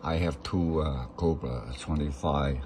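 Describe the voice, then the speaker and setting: Male tutorial instructor, low register, slow unscripted delivery, key phrases repeated, faint background noise. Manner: repeats short phrases for emphasis. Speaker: instructor demonstrating an electronics installation. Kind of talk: step-by-step hands-on tutorial, unscripted.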